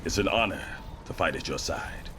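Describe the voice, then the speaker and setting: An actor playing a composed, honorable military general in a video game, speaking in a measured, formal briefing manner. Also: male voice, low voice, expressive, noisy background. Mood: solemn respect.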